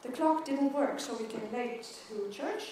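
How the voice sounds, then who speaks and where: High-voiced Swedish woman who stutters. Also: unhurried, dark tone, a little hiss or hum. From Sweden. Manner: stutters with repeated words and syllables. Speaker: keynote speaker. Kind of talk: conference keynote speech. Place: large conference hall. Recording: echoey hall, mic from far off.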